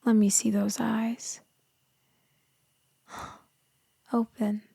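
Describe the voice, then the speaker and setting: Casting: woman as tender vampire rescuer for ASMR roleplay, soft whispery delivery, unhurried, very even pitch. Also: no room sound, heavily acted. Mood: tender, reassuring. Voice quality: soft and breathy, often dropping to a whisper. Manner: tender, slow, reassuring, hesitant pauses. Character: gentle female vampire soothing someone she saved. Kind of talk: intimate ASMR roleplay acting.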